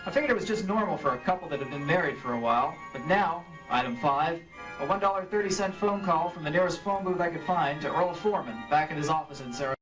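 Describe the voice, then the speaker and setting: A distant stage recording with music carries a man acting as a cool, wry radio detective. His voice is fairly high and moves briskly, hard-boiled and matter-of-fact, in the manner of a classic radio serial. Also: expressive, close to an everyday voice. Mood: matter-of-fact narrating. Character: cool, wry male insurance investigator, hard-boiled narrator. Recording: live stage re-creation, distant mic, music.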